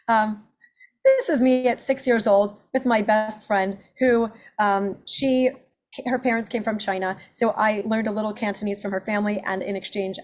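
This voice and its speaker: A woman in her forties, an accent and presentation coach, giving an upbeat webinar pitch of her services. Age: 40s. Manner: upbeat, self-promoting, listing services.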